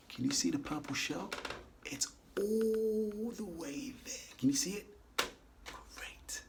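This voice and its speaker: A man reading a picture book aloud to children, animated and coaxing, stopping to ask the kids questions. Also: fairly high pitch. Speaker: children's story reader.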